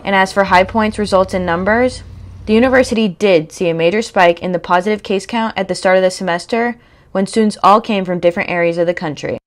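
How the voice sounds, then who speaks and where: Young female narrator, scripted documentary read, steady and mid-pitched. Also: fast talker. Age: young adult. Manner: even, read-aloud delivery.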